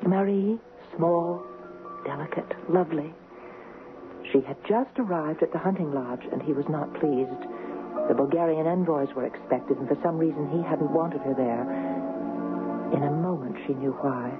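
An actress playing an impassioned, romantic young fiancée in a 1970s radio drama, with dramatic pauses. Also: female voice, low-pitched, over music, close to an everyday voice. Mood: hushed, intense. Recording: muffled narrow-band old radio with noise.

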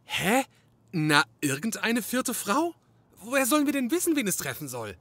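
A man's voice: high-pitched, sing-song, normal speed, a bit bright, no room sound, heavily acted. A man playing an anxious, naive young detective's assistant in a comedy audio drama, flustered and exclamatory. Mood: indignant, baffled.

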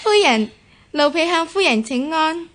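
An actress playing an eager, deferential young maidservant in a historical radio drama, with a Hong Kong Cantonese accent. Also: female voice, sits high, animated, a little slow, a bit bright. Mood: respectful. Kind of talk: radio drama acting in historical costume piece.

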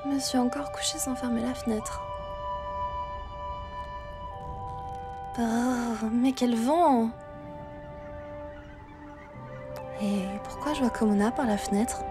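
A woman plays a scatterbrained young cartoon witch, high and very animated in pitch. She is cheeky and exclamatory, with loud music behind her. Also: unhurried. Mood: puzzled, alarmed. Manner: expressive, exclamatory, talks to herself.

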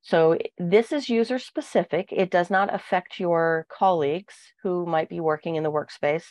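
A woman with a cold, sounding nasal, walking through software on a screen share with plenty of fillers. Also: fairly low pitch, moderate pace, no room sound. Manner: steady explaining with frequent fillers. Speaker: product trainer. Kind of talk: software walkthrough.

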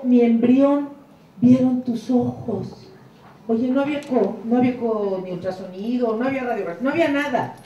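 A middle-aged woman giving an inspirational church talk on a handheld mic, fervent, moving between hushed prayerful passages and soaring emphatic lines. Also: fairly high pitch, lo-fi sound. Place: room with curtained wall, indoors. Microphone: handheld mic, filmed from a distance. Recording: echoey room, camera far off.

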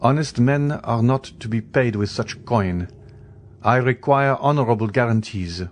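A man voicing a young gentleman journalist in an audiobook, formal, stiffly courteous and insistent. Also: mid-range voice, unhurried, voice pushed up, lightly acted. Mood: haughty, insistent. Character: earnest young gentleman journalist, polite but indignant.

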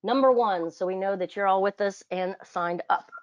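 A woman's voice: mid-range voice, moderate pace. Woman, webinar co-host, encouraging, short remarks, muffled lo-fi sound. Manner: brief encouraging interjections. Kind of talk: casual webinar talk.

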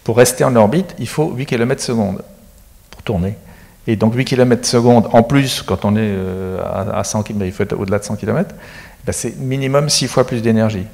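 A man's voice: lowish voice, a little fast, creaky, a little mellow. Middle-aged man explaining in a reverberant hall. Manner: explanatory, technical, matter-of-fact. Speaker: space agency expert guest. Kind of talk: studio interview. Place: studio set with screens. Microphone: handheld mic.

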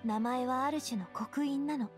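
Woman playing a high-voiced, dejected young lady boss. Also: moderate pace, faint music behind, close to an everyday voice. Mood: wistful, earnest. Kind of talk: Japanese anime game voice acting.

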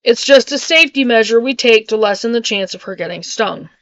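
A woman's voice: fairly high pitch, animated, brisk, a bit bright, dry recording, dull audio. A middle-aged woman reading an article aloud with casual asides, sometimes stumbling over and repeating hard words, recorded on a webcam in a home kitchen. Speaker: homesteading vlogger. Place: small home kitchen with wood-panel ceiling, indoors.

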